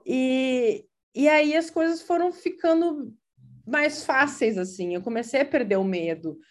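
Hesitant gaúcha woman, slightly high voice. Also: expressive, measured pace. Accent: Gaúcho Brazilian Portuguese. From Brazil. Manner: hesitant, many fillers and restarts. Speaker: interviewee. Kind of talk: casual conversational account.

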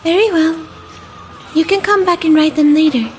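A woman voicing a smooth, falsely welcoming villainess hostess in an anime fandub, with long reverb. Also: very high-pitched, fairly even intonation, normal speed. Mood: coaxing. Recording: long reverb, faint music.

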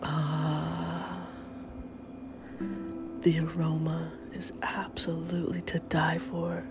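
Middle-aged woman, podcast host, head-cold stuffy nose, low gravelly voice, loud music behind. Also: little pitch movement, slow pace. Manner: often whispery, upbeat and chatty. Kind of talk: casual morning chat.

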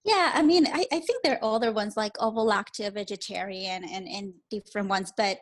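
A woman's voice: medium pitch, brisk. Woman guest from Peru, upbeat, earnest explainer, casual interview.

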